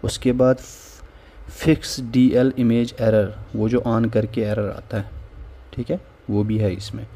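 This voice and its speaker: A man's voice: mid-range voice, flat delivery, slow pace, warm tone, breathy edge. A man casually walking viewers through a phone repair tool on screen, often saying "theek hai" between steps. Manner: informal, repeats "theek hai" as a filler. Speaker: phone repair tutorial host. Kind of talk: casual screen-recorded software walkthrough. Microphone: voice-over on screen recording.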